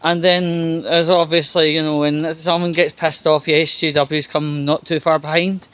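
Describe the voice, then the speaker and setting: A man giving casual, opinionated news commentary in a rambling, halting, sweary way. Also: on the high side, little pitch movement, fairly bright tone, smooth, highs cut off. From United Kingdom. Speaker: host of his own commentary vlog.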